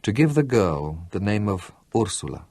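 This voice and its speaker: Man voicing a condemned young rebel, measured, composed, husky, dry. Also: fairly low pitch, sing-song, darkish tone, no echo, heavily acted. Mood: calm, resigned. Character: condemned young rebel commander facing execution.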